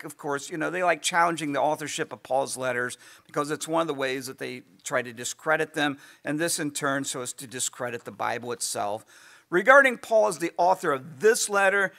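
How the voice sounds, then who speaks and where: A man teaching a Bible lesson in an easygoing lecture style, losing his voice and often clearing his throat. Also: on the high side, bright, forceful tone. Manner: conversational, thinking aloud with asides. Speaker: pastor teaching a Bible class.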